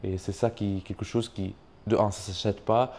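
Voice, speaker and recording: A man's voice: lowish voice, flat delivery, moderate pace, warm tone. A young man with a foreign accent, speaking French as a second language, chatting in an interview with many fillers and restarts. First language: not French. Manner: hesitant, many fillers and restarts, says "tu vois" often. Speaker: YouTuber and online business coach. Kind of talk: casual interview conversation.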